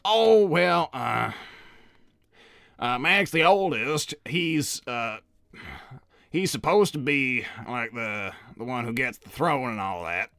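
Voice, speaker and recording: Flustered older male hedgehog, acted by a man: cartoon interviewee, mid-pitched, bright, rambling and kindly. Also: expressive, slow pace, vocal fry, smooth, no echo, clean studio sound, exaggerated voice. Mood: candid. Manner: hesitant, rambling, stammering, apologetic. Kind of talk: improvised in-character interview.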